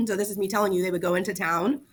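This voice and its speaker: Woman, teacher, informal lesson delivery, slangy, conversational asides, medium pitch, repeats key terms. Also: fast talker, slightly rough. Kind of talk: casual lesson to students.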